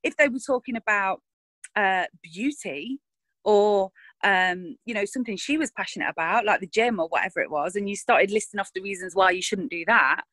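Woman, actress in interview, frank and self-deprecating, middle pitch, dry close sound. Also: moderate pace, fairly bright tone, no echo. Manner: frank, self-deprecating, thinks aloud with restarts. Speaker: actress interviewed about her roles. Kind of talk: casual interview chat.

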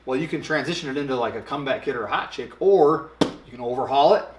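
A man in his thirties casually explaining a product in a dark studio, talking with lots of hand gestures and filler ums. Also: mid-range voice, quick pace. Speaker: product expert. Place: dark studio set, indoors. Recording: roomy echo.

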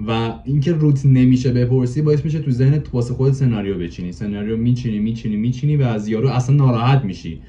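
A man's voice: medium pitch, few pauses, mellow, a little hiss or hum. A man in his twenties chatting casually as a podcast guest, explaining in colloquial speech and repeating words for emphasis. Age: 20s. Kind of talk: casual chat. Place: living room, indoors. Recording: dull, muffled video call audio.

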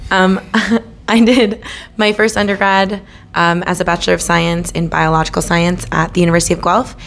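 A young woman from Ontario answering interview questions, listing her degrees in an even, measured way. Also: fairly low pitch, vocal fry, background noise. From Ontario, Canada.

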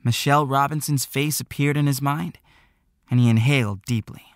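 A man narrating an audiobook story in an expressive, scripted reading style. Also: medium pitch, normal speed, dry recording, studio quality.

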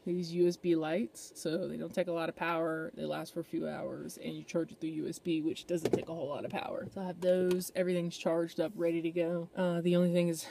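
A woman's voice: fairly low pitch, level tone, fairly quick, gravelly, few pauses, mellow, slight background noise. A young woman chatting casually on a travel vlog from inside her van, rambling with easy fillers. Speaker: vlogger. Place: inside a parked van, later outdoors by the road.

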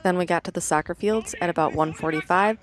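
A woman's voice: fairly low pitch, fast. Woman narrating a family vlog. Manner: even, matter-of-fact storytelling. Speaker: vlogger narrating her weekend. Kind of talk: scripted voice-over narration.

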